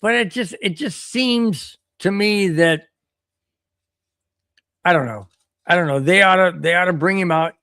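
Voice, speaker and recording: A man hosting a solo podcast stream, ranting animatedly and repeating himself while his voice wears hoarse. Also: high-pitched, unhurried, pauses often, pushed and bright, no echo, studio quality. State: voice getting hoarse. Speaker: podcast host. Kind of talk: solo livestream rant reading chat.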